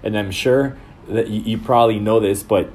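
A middle-aged man from El Salvador, a marketing director answering interview questions in a measured, businesslike way. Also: lowish voice, fairly even intonation, darkish tone. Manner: measured, businesslike, with some restarts.

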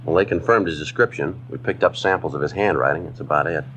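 Very deep, deadpan police detective. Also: male voice, quick pace, highs cut off, a little hiss or hum. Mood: businesslike. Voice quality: flat, terse delivery. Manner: deadpan, businesslike. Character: terse, matter-of-fact male police detective sergeant. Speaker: narrator and lead actor of a police series. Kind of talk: 1950s radio drama acting, scripted narration. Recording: 1950s radio recording with music.

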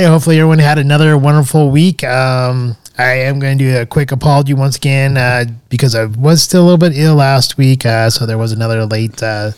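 A man's voice: mid-range voice, darkish tone, smooth, no echo. A man co-hosting a podcast in casual chat, talking in a hesitant way with many filler sounds. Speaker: podcast co-host.

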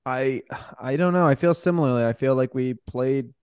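A man chats casually as a football podcast co-host. His voice is clean and resonant at a middle pitch, and he feels his way through points, often repeating himself. The recording is dry. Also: normal speed, a little mellow, clean tone, dry recording.

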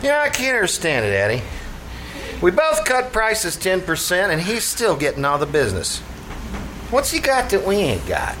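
A man's voice: high-pitched, huge pitch swings, normal speed. A man in a radio comedy troupe playing a scheming tavern manager with a working-class dialect, given to mangling his words. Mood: exasperated. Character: scheming, malaprop-prone New York tavern manager. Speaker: actor in a stage troupe. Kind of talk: live old-time radio comedy acting. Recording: live theater recording with background noise.

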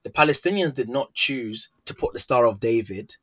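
Husky-voiced man host, impassioned. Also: mid-range voice, lively intonation, normal speed, fairly bright tone. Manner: impassioned, builds long questions. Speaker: podcast host. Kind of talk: interview questions.

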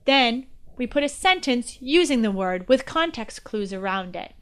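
Female podcast presenter, higher-pitched and very clear-voiced, delivering scripted educational narration in a presentational manner. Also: animated, moderate pace, fairly bright tone. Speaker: guest podcast host.

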